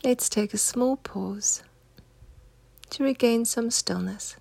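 A woman guides a meditation in a hushed, husky voice with a noticeable creak. She speaks gently and without hurry, soothing as she instructs. The sound is close and dry, with no room around it. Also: fairly high pitch, slow pace, no room sound. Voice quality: soft, breathy, husky, near-whispered.

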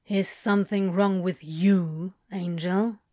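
A woman's voice: fairly low pitch, steady tone, takes it slowly, mellow. A woman voicing a guarded, laconic male demon in an audiobook story, with a clipped, mumbling, offhand drawl. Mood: pensive, offhand.

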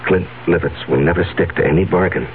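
A man's voice: low voice, fast. An actor playing an anguished, agitated businessman trapped by a blackmailer in a 1970s radio drama. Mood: grimly agitated. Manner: agitated, emphatic. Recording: narrow, tinny radio recording with hum.

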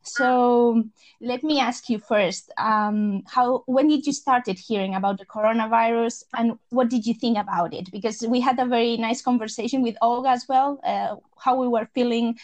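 A woman's voice: fairly high pitch, fairly even intonation, normal speed, darkish tone. A woman hosting an interview, asking chatty questions with slips and restarts. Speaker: interviewer, host.

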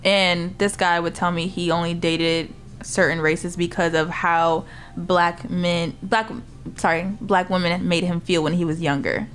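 A woman joins a casual podcast conversation as a guest. She speaks earnestly in a slightly low voice, backing up her points with firm agreement. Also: flat delivery, measured pace, hardly any pauses, a bit bright. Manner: earnest, emphatic affirmations. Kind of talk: casual chat.

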